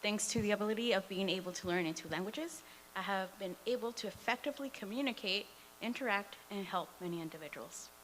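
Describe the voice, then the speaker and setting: A woman's voice: medium pitch, fast, a bit bright, a little hiss or hum, poor audio. A woman in her twenties whose first language is Spanish, a teacher reading a prepared public comment with occasional fillers at a school board meeting. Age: 20s. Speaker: dual immersion teacher.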